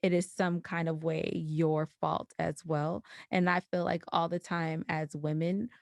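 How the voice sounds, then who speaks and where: Deliberate woman in dry podcast conversation. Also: low-pitched, flat delivery, vocal fry, dry recording. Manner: deliberate, explanatory, builds points in short phrases. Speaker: podcast guest.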